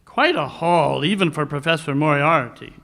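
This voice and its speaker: A man acts out a cool, superior male detective for a live radio play. His voice sits a little high. He speaks in formal, deliberate sentences with a dry, commanding air. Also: creaky, a bit bright. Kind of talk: 1940s radio mystery acting, performed live.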